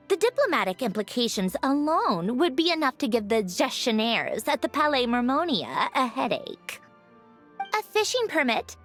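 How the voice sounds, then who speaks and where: A woman voicing a haughty, sardonic mechanical young aristocrat in a video game, speaking in a crisp, dry manner. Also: on the high side, fairly bright tone. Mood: disdainful.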